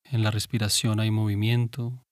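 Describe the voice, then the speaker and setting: A man guiding a meditation in a calm, gentle, unhurried manner with pauses. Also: lowish voice, dry recording, clean studio sound. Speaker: meditation guide. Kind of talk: guided meditation.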